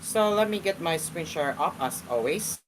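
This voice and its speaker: A man in his twenties hosting a casual online talk show, commenting and reading aloud in a halting, repetitive way. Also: fairly high pitch, animated, noisy background. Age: 20s.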